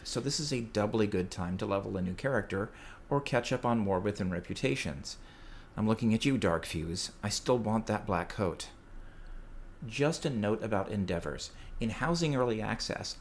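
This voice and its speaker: A man giving a prepared, measured report as a contributor to a podcast. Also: lowish voice. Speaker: listener sending in a recorded report. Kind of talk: prepared, scripted-sounding report. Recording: clear background noise.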